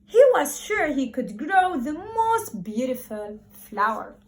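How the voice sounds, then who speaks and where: A woman primary school teacher speaking to young children in an encouraging, expressive way, repeating words for emphasis as she talks and reads aloud. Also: high voice, slow, a little hiss or hum.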